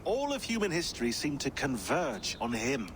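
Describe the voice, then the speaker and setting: A wry, talkative man explains things as a modern team expert in a game cutscene. His delivery is animated and conversational, with clear noise behind. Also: mid-range voice, moderate pace. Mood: intent, explaining. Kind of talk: naturalistic game cutscene acting.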